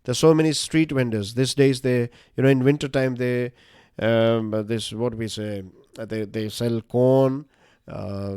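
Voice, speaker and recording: A man's voice: mid-range voice, a little mellow, no echo, clean studio sound. An Indian man with a Gujarati-influenced accent, a Gujarati native speaker, giving a casual current-affairs lecture with lots of fillers and rhetorical questions. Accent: Gujarati-influenced Indian English. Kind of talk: conversational exam-prep lecture.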